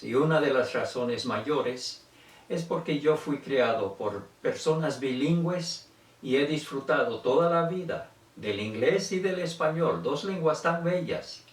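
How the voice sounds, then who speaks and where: An older man from Venezuela giving an informal autobiographical talk in a reflective, conversational manner. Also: medium pitch. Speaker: speaker telling his family language history. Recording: echoey room, distant microphone.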